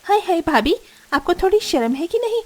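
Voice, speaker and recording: A woman's voice: very high voice, very animated, normal speed, pitched above the performer's own voice. A woman voicing an arrogant, bossy young married sister-in-law in a cartoon family drama, scolding and whiny. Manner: scolding, self-centred, whiny.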